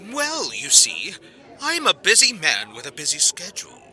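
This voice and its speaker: Cocky, bright, flirtatious secret agent. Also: male voice, sits high, huge pitch swings, normal speed, heavily acted. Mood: smug, haughty. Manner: smug, theatrical, teasing, sprinkles in Spanish. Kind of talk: audio drama acting.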